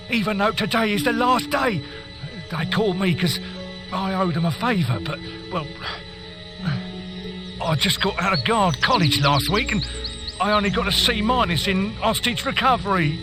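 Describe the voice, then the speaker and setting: A man playing a timid young rookie guard in a fantasy video game, hesitant and flustered. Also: high-pitched.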